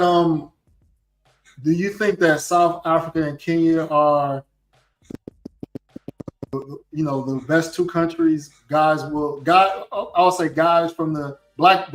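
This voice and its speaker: A man interviewing a guest, asking long, halting questions full of restarts. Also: on the high side. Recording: echoey room with faint music.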